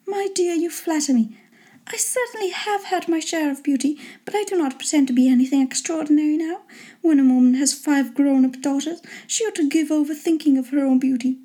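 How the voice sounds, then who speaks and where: A woman voicing a fussy, excitable middle-aged wife and mother in a storybook reading, gushing, pleading and impatient. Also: high voice, a little fast, voice pushed up, lightly acted. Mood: coy. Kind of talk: storybook character voice in an audiobook reading.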